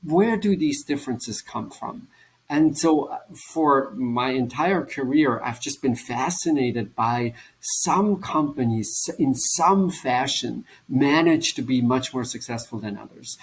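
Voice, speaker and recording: A man from German-speaking Switzerland with a Swiss German accent, an author answering interview questions in a lively, explanatory way. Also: mid-range voice, slow, poor audio. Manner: animated, explanatory, laughs often. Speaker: author and professor.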